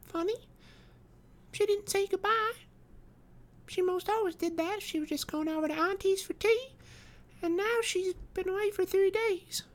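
A tiny storybook girl of five, acted out by a man, speaks in a very high, childish falsetto. She is innocent and solemnly matter-of-fact, with homely, folksy turns of phrase. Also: normal speed, long pauses. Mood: innocently puzzled.

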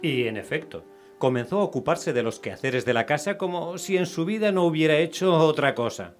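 A man's voice: fairly high pitch, lively intonation, brisk, soft background music. A man narrating a scripted story for radio theatre.

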